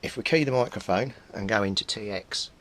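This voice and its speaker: Deep-voiced man, informal repair narration. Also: brisk, fairly bright tone. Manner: thinks aloud while working. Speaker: hobbyist radio repairer and video maker. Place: indoor cluttered home workbench. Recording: rumbly background noise.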